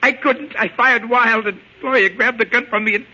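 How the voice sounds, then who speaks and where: An actor playing a panicky, cowardly small-time crook, stammering and slangy, in 1940s radio acting style. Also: male voice, very high voice, normal speed, bright, forceful tone, slightly rough, exaggerated voice. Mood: defensive, accusing. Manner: frantic, stammering, slangy pleading. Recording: dull, noisy old radio broadcast.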